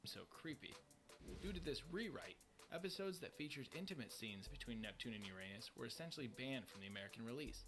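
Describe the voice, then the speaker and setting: A man's voice: medium pitch, lively intonation, fairly quick, a bit bright. A man reading scripted countdown narration as the narrator of a list video. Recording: music bed under the voice. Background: background music.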